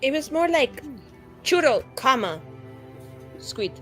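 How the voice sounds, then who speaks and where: A woman's voice: sits high, big pitch swings, slow pace, soft background music, voice pushed up, heavily acted. A woman voicing an easygoing adventurer in casual, hesitant tabletop roleplay.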